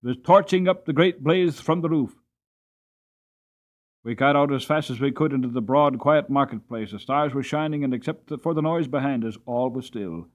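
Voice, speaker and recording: A man narrating an audiobook as a dramatic, expressive storybook reading. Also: mid-range voice, normal speed.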